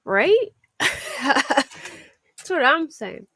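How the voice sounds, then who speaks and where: A woman's voice: high voice, very animated, extremely slow, fairly bright tone, no echo, clean studio sound. A young woman with a Philadelphia accent, casually reading out a crime story and chatting with her livestream viewers. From Philadelphia area. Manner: chatty, reads a story aloud while answering chat, laughs often. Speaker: true crime livestream host.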